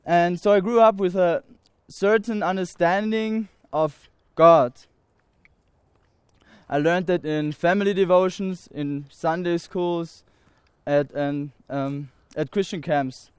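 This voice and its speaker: Slow, earnest young Austrian man. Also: sits high, steady tone, smooth, no room sound, clean studio sound. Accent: Austrian German. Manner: halting and earnest, many pauses and restarts. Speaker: speaker giving a testimony. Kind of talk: personal faith testimony to an audience.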